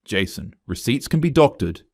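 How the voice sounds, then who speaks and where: A man's voice: mid-range voice, very animated, moderate pace, darkish tone, breathy edge, dry recording, clean studio sound, voice pushed up. A man voicing a self-assured, cold female human resources investigator in a narrated story, clipped and officious. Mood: cold, official.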